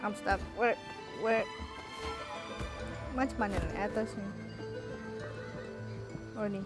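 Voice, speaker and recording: Slow-paced woman streaming a game. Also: mid-range voice, steady tone. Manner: mixes English with Malay. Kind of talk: casual livestream gameplay commentary. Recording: loud game music under voice. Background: game music.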